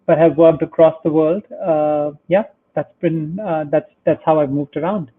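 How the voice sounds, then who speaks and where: A man's voice: fairly high pitch, fairly even intonation, normal speed, breathy edge. A man from India, a guest in a remote interview, speaking in a friendly way with frequent hesitations and fillers. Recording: tinny phone-like line.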